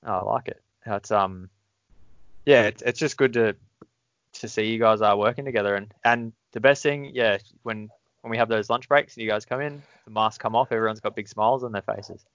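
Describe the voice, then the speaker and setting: Man, fairly low voice, dry close sound, muffled. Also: normal speed, fairly bright tone, smooth, no echo. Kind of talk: casual conversation.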